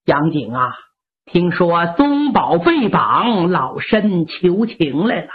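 A woman storyteller voicing an elderly matriarch who pleads slowly and grievingly. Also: lowish voice, sing-song, pitched below the performer's own voice. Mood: pleading. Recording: lo-fi narrow-band recording.

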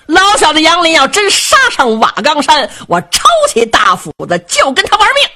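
A woman's voice: high-pitched, sing-song, moderate pace, voice pushed up, exaggerated voice. A woman doing a brash, rowdy rebel king. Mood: jovial. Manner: loud, brash, laughing. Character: boisterous carefree middle-aged male rebel king.

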